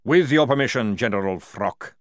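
A man voicing a fierce, loyal old male army sergeant who barks his words in a forceful parade-ground manner. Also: mid-range voice, lively intonation, normal speed, dry recording, studio quality, close to an everyday voice. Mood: gruff, insistent.

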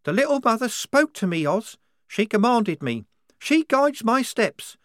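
A man's voice: very high voice, very animated, a little slow, fairly bright tone, dry recording, studio quality, pitched above the performer's own voice, heavily acted. A man reading an audiobook, voicing a naive, devout nineteen-year-old woman soldier who speaks with fervent earnestness.

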